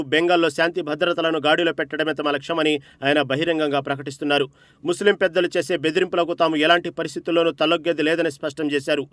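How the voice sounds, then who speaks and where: A man's voice: fairly high pitch, fairly bright tone, dry recording. A man reading a scripted news report as narrator, with an emphatic, dramatic delivery.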